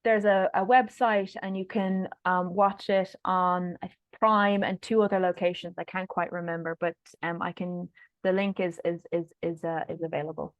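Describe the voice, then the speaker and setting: Halting woman researcher on muffled call. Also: on the low side, little pitch movement, dry recording. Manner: halting, apologetic, repeats words. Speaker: guest speaker, researcher. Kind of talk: informal academic question and answer. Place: online video call, close dry sound.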